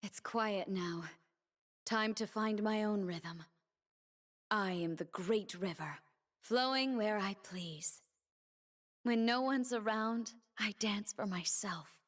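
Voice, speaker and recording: A young female blade dancer for a video game. She speaks slowly and solemnly, with earnest resolve. Every line is measured and determined, the voice of a fighter devoted to her cause. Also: medium pitch. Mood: quiet, reflective. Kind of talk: scripted video game character voice lines.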